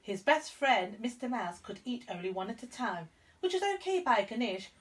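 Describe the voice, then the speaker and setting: Animated higher-voiced woman reading a children's story. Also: normal speed, recorded from a distance. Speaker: storyteller, school reader. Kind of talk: expressive children's story narration.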